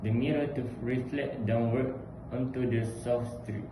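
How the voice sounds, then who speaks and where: A man giving measured, formal, rehearsed explanations as an interviewee. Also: mid-range voice, steady tone. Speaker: interviewee explaining a building's design problems. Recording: echoey, noisy ambient recording.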